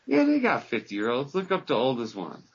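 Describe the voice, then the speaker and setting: A man's voice: mid-range voice, normal speed, husky. A man in his fifties co-hosting a podcast, joking and bragging in casual banter. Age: 50s. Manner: boastful, joking, animated storytelling. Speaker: podcast co-host. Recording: dull lo-fi podcast audio.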